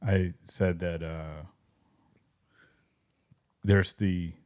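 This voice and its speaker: A man co-hosting a podcast, thinking out loud in circling phrases with sudden bursts of emphasis. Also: low-pitched, steady tone, extremely slow. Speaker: podcast co-host. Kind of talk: casual podcast chat.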